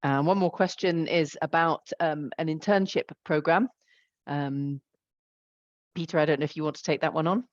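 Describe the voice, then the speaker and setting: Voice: medium pitch, moderate pace. A moderator reading out audience questions, hesitant, with many fillers. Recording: dull lo-fi audio.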